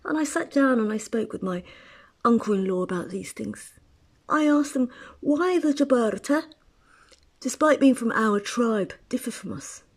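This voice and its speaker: A woman's voice: on the high side, expressive, measured pace. A woman from Eritrea talking at length in a casual personal monologue, rambling with restarts and asides. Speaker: writer.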